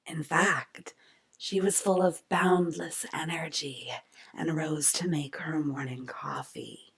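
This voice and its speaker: A woman narrates a horror story in a low, very breathy near-whisper full of gravel. Her scripted delivery is unhurried and eerie in the manner of radio mystery theatre.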